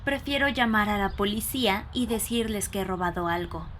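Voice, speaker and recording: A woman's voice: medium pitch, lively intonation, moderate pace, fairly bright tone, a little hiss or hum, lightly acted. A woman playing a female character in a horror audio story. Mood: restrained. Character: librarian woman and sullen teenage girl.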